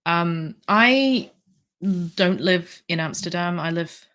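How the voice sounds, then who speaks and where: A low-pitched woman from the UK with a slightly sore throat, hosting a relaxed livestream. She rambles warmly through viewer comments, full of ums and asides. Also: slow pace. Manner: chatty, rambling with fillers. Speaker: livestream host. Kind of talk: casual livestream chat reading comments.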